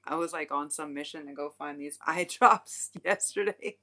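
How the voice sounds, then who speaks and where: A woman with a low voice and a bright, forceful edge gives a tarot reading to her viewers. She rambles frankly, swears casually and fills her sentences with "like" and "I don't know.". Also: normal speed. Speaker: tarot reader.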